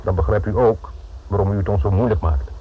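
A man's voice: lowish voice, brisk, natural voice. A man acting in a radio play as a stern, sardonic police inspector, speaking formally in clipped, sceptical phrases. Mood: firm, explaining. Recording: tinny, band-limited old radio recording with noise.

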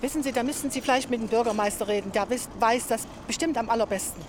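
A woman's voice: a little high, fast. A woman giving casual answers as a passer-by in an outdoor street interview. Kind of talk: casual vox-pop answers. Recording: noisy outdoor street recording.

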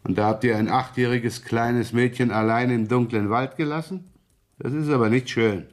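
An actor playing a wily, genial police detective in a German radio crime drama, teasing and probing in a folksy way. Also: male voice, mid-range voice, fairly even intonation, moderate pace, natural voice. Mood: quietly pressing. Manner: folksy, sly questioning with muttered interjections.

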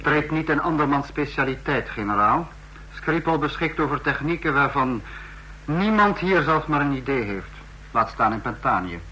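An actor in a Dutch radio play voicing a commanding regime leader who corrects others in a measured, didactic way. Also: male voice, a little high, animated, sharp tone, low-quality recording. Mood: firm, emphatic. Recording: faint hum, old radio recording.

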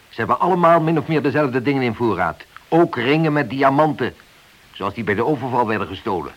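A man acting in a radio play as a stern, accusing senior police inspector who speaks deliberately. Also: mid-range voice, fairly bright tone, low-quality recording.

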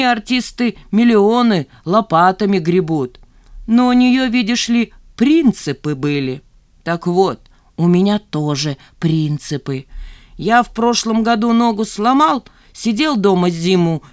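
Lady doing grumpy sly old dad in an audiobook, drags words out slow, needling, self righteous, dry sound. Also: medium pitch, expressive, no room sound, voice pushed up, natural voice. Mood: aggrieved, smug. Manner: slow, drawling, needling delivery. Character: grumbling, sly, self-righteous old man, an estranged father. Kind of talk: audiobook character dialogue.

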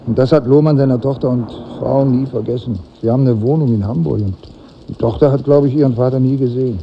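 Small-town editor, male actor, cynical and chatty, gravelly, vintage radio drama with faint noise. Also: medium pitch, fast, close to an everyday voice. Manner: chatty, wry. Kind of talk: 1950s German radio drama acting. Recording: dull old radio recording, slight noise.

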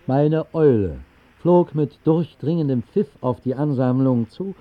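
A whimsical storyteller acted by a man recounts a dream in ornate, mock-solemn style. His voice is mid-pitched. It comes through a thin, phone-like old radio recording. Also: measured pace, lightly acted. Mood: wry, dramatic. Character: whimsical, tipsy Berlin night-owl narrator recounting his absurd dream. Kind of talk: literary radio-play narration.

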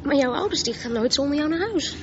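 A woman's voice: sits high, lively intonation, quick pace. An actress playing a hesitant, affectionate teenage girl in a radio drama, often searching for words. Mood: dreamy, unsure. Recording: dull, noisy radio play recording.

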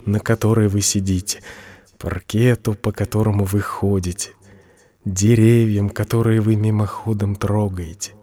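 An actor playing a humble, hopelessly devoted poor admirer in an audio drama, speaking a formal letter with reverence. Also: male voice, on the low side, mellow. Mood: reverent, pleading.

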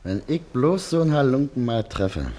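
An actor playing a self-important, threatening snoop and informer in a German radio play. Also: male voice, medium pitch, very animated, normal speed, dark tone, lightly acted. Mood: boastful. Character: pompous, snooping, threatening male braggart and informer.

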